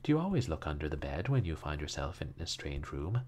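Man voicing a languid, sarcastic young woman, animated. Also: a bass voice, brisk, full character voice. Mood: teasing, sarcastic. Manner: languid, teasing. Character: wistful yet sarcastic, worldly-wise young woman.

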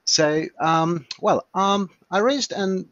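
A man's voice: sits high, takes it slowly, a bit bright, no room sound, dull audio. A Hungarian-accented man in his fifties, an emergency physician, chatting in an interview with many fillers and restarts. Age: 50s. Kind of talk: casual interview chat.

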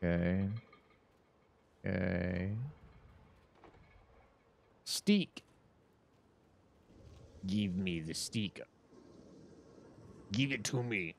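Male streamer in his thirties, low unhurried delivery with swooping intonation, chatting to the game at a desk mic. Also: expressive, gravelly. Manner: rambling, self-mocking, talks to the game. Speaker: streamer playing a horror game. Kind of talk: casual gameplay commentary. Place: home streaming room.

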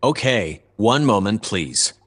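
A calm shipboard observer played by a man narrates a sci-fi audio drama. His voice sits on the low side. He describes everything in measured, matter-of-fact detail. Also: husky, exaggerated voice. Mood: calm, factual. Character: male narrating observer calmly reporting aboard a spaceship.

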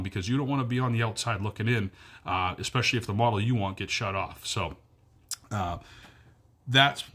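A man's voice: on the low side, a bit bright. A man in his thirties giving an earnest direct-to-camera update from a wood-paneled room, filmed on a phone held close, repeating his key points with lots of fillers. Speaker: powersports dealer staff. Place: wood-paneled cabin bedroom indoors. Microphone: phone camera at arm's length.

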